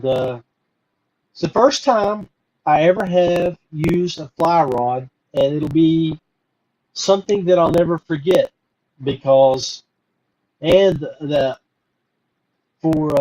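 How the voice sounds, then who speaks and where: A man's voice: fairly high pitch, darkish tone, low-quality recording. A middle-aged man hosting a fishing podcast, talking casually with lots of fillers and restarts. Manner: rambling, many fillers and restarts. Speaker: podcast host. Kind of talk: casual live podcast talk.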